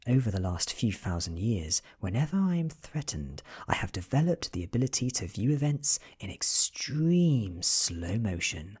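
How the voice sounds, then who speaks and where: A British man reading a story as soft-spoken ASMR narration, hushed and often dropping into a whisper. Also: on the low side, sing-song, normal speed, few pauses, slightly rough. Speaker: audiobook reader.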